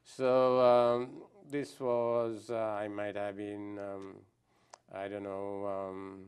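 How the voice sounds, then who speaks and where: An older man from Sri Lanka speaks in a low, resonant voice. He answers interview questions reflectively, pausing often and restarting his sentences as he thinks. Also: flat delivery, a little mellow, clean tone. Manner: thoughtful, hesitant, many ums and restarts. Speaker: guest anthropologist.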